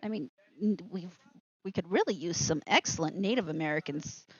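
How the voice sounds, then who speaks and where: A woman's voice: fairly low pitch, expressive, moderate pace, husky, no echo. Woman livestreamer, rambling, slightly muffled. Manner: rambling, interrupts herself with asides. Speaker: live show host. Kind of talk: casual livestream commentary.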